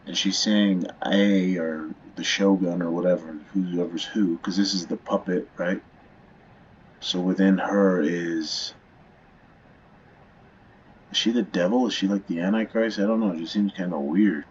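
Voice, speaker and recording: Deep-voiced man, casual gamer. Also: steady tone, measured pace, dull audio, a little hiss or hum. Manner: informal, rambling. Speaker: gamer reacting to a game. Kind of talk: casual chat commentary.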